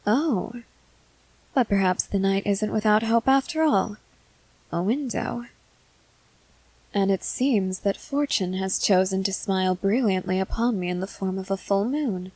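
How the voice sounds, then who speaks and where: A woman's voice: medium pitch, a little mellow, dry recording, poor audio. Woman voicing a haughty, composed schoolgirl, dry close recording. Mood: calm, pleased. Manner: formal, articulate, measured speech with deliberate pauses. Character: composed, haughty junior high schoolgirl, amused and sardonic. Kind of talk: scripted ASMR roleplay monologue.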